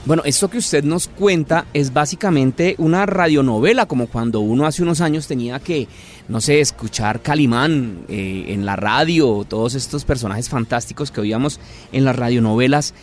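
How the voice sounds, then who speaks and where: A man's voice: mid-range voice, normal speed, slight background noise. A man hosting and interviewing in a radio style, emphatic and formal with his guest. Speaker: podcast host and interviewer. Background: music.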